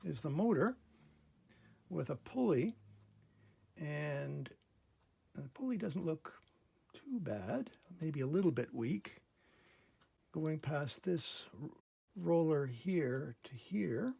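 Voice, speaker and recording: A man hosting a casual hands-on repair demonstration at a workbench, explaining methodically step by step in a gravelly, husky voice. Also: fairly high pitch, lively intonation, takes it slowly, long pauses, dark tone. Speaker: host of a repair channel. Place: indoor workbench in a workshop.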